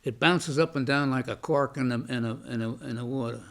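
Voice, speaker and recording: An older man, a veteran, recalling his past in an oral history interview, halting with many restarts and fillers and a loud voice. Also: mid-range voice, creaky. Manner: says he has a loud voice.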